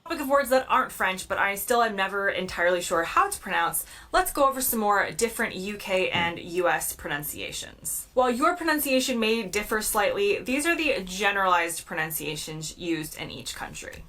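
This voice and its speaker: A woman's voice: medium pitch, very animated, normal speed, few pauses, bright, forceful tone. A woman with an American accent, narrating a scripted explainer with upbeat, playful emphasis. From United States. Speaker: video creator. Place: played from a video.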